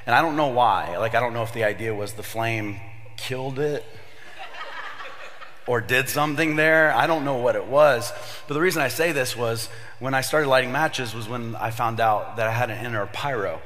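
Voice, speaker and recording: Slightly raspy pastor in a big hall. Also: male voice, mid-range voice, moderate pace, a bit bright. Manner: chatty storyteller, jokey asides, many fillers. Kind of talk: casual sermon to young adults.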